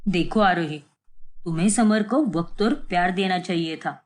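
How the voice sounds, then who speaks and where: A woman voicing a calm, caring man of the family who soothes and gently reproaches. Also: lowish voice, moderate pace, voice pushed down, lightly acted. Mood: reproachful. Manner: soothing, reasoning.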